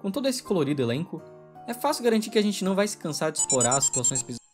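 A man narrating a scripted video essay in a brisk, opinionated, wry way, with music under the voice-over. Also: high-pitched, sing-song, darkish tone.

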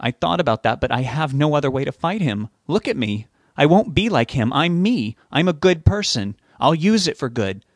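A man's voice: on the high side, big pitch swings, moderate pace, few pauses, no room sound, clean studio sound, pitched above the performer's own voice. A man voicing an earnest, desperate young man in an audiobook, determined yet polite. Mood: desperate, resolute. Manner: pleading, resolute.

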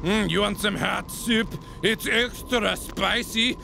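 A man putting on a playful accent, slowly offering spicy flaming soup in a teasing, drawn-out way. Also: high voice, steady tone, vocal fry, background noise, voice pushed up, exaggerated voice.

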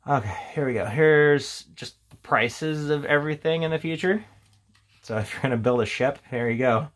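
A man's voice: mid-range voice, normal speed, a bit bright. A man giving a casual, unscripted, rambling commentary as a tabletop game reviewer, reading out headings between offhand remarks.

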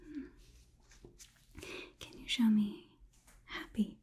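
Casting: a woman as a curious female robot overlord for ASMR roleplay, detached and formal, soft whispery delivery, unhurried. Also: mid-range voice. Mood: detached curiosity. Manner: stilted formal phrasing, detached, slow and deliberate. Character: curious, clinical female robot overlord studying human emotions.

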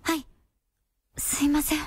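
A woman's voice: a little slow, no room sound, clean studio sound, lightly acted. An actress playing an earnest, polite and hesitant young woman in a radio drama. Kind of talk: Japanese radio drama acting.

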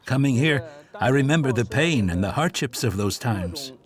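An earnest man speaks in an expressive voice, his pitch rising and falling freely. He delivers a dubbed interview voice-over with crowd noise heard behind him. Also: moderate pace.

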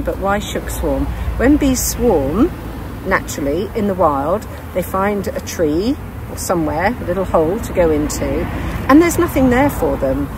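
Chatty older woman beekeeper outdoors. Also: on the low side. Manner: chatty, explains each step as she works; delighted, exclaiming. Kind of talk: hands-on demonstration vlog. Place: outdoors in a garden apiary. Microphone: camera close by, wearing a bee veil. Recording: outdoor noise with buzzing bees.

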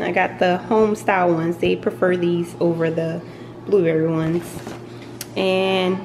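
A young woman talks through her groceries in a loose, chatty way, laughing easily as she goes. She is a college mom filming at home in her kitchen on a close handheld camera. Steady room noise sits clearly under her voice. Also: mid-range voice, poor audio. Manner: chatty, relaxed, laughs often. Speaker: vlogger, college mom of three. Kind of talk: casual grocery haul vlog.

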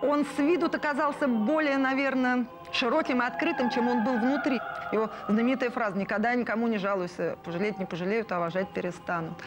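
A woman's voice: fairly high pitch, fast. A middle-aged woman giving personal reminiscences in a documentary interview. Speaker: artist, interviewee. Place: indoor room among puppets. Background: music.

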